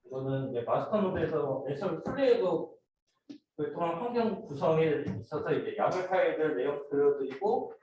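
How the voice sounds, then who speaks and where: A man with a slightly high voice gives a student project presentation, explaining in an informal, halting way. He is recorded from a distance in a very reverberant room, with some noise in the recording. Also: animated, a little mellow, lo-fi sound. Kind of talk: team project presentation, explaining slides and a demo video. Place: indoor room, voice picked up from a distance. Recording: very echoey room, distant mic, noisy.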